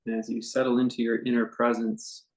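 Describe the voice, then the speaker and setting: A man leading a guided meditation as a teacher, speaking in an unscripted, conversational way with gentle, halting phrases and long pauses. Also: mid-range voice, monotone, rough recording.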